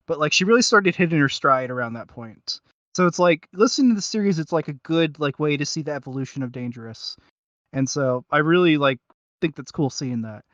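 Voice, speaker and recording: A man's voice: on the high side, bright, forceful tone, dry recording, dull audio. A man co-hosting a casual review podcast, rambling and hesitant as he searches for his words. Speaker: podcast co-host reviewing audio series.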